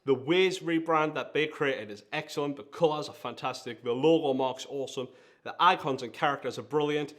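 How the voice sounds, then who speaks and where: A man's voice: on the high side, a little fast, a bit bright. A man with a Geordie accent hosting a countdown-style video, talking in an enthusiastic, emphatic way. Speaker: video host, design agency founder. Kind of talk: presenter-style vlog countdown.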